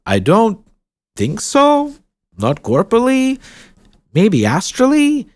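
A man voicing a thoughtful gentleman figure who muses over theories. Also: high-pitched, huge pitch swings, takes it slowly, no echo, voice pushed up, heavily acted. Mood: musing. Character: scholarly man called the Baron, theorizing.

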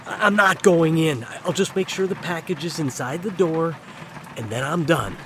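A man's voice: a little high, moderate pace, fairly bright tone, slight background noise, close to an everyday voice. A man playing a nervous, good-hearted young delivery guy in an audio drama, hesitant and stammering. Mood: nervous calling out. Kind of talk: naturalistic audio drama acting.

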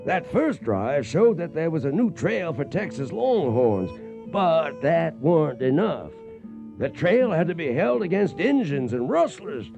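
Brief: folksy trail-hand narrator, high lively voice, guitar music behind, radio drama. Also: male voice, gravelly, exaggerated voice. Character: folksy cowboy storyteller recounting trail days. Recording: dull old radio recording.

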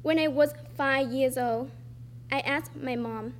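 A high-voiced teenage girl from Vietnam reads her personal essay aloud from a stage. She reads at a slow, careful pace with pauses between phrases, and a faint hum sits under the recording. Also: steady tone. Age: teens. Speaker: student storyteller. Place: performing arts center stage.

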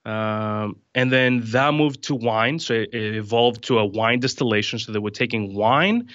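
A man from Greece in his thirties, a distiller talking in a casual podcast chat, relaxed and full of "uh" and restarts. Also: medium pitch, moderate pace, no room sound, highs cut off. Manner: relaxed, many fillers and restarts.

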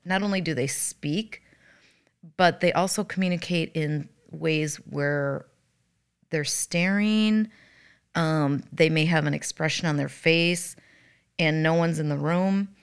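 Low-voiced, slightly raspy woman. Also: slow. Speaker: podcast co-host, hospice worker. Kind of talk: conversational podcast talk.

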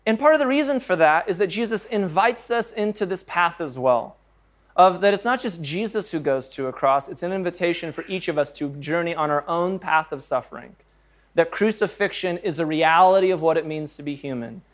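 A man's voice: high voice, brisk. A man preaching a sermon as pastor, earnest and conversational, reading scripture aloud and joking about his own slips.

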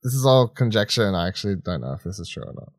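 A man's voice: fairly low pitch, sing-song, racing, no echo, studio quality. A man co-hosting a podcast with casual, opinionated banter, informal and slangy in how he talks. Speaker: podcast co-host.

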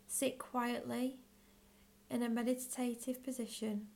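A woman leading a guided visualisation in scripted narration, with measured, gentle phrasing and long pauses. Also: on the high side, level tone, vocal fry. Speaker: guided meditation leader.

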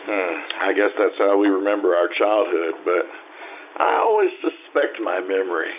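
A man acting in an old-time radio drama, playing an easygoing, curious husband who muses and gently prompts. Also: sits low, expressive, normal speed. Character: curious, easygoing husband drawing out his wife's memories. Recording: tinny, lightly noisy radio-play recording.

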